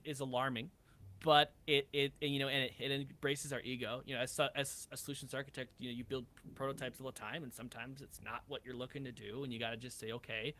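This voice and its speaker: Guy on a podcast, bright forceful voice, rambles excitedly thru stories. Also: mid-range voice. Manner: rambling, enthusiastic storytelling with acted-out quotes. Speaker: podcast guest. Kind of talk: animated casual chat.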